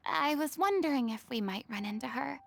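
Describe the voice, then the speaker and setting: A woman voicing a humbled young former goddess, speaking softly and earnestly, sometimes near a whisper, in a video game. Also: high-pitched, very animated, a little slow, vocal fry, soft background music, full character voice. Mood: subdued.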